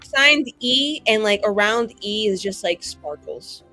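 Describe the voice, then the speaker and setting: A woman's voice: mid-range voice, animated, a little slow, bright, forceful tone, soft background music. A woman playing a tabletop roleplaying game, casually improvising words for her character.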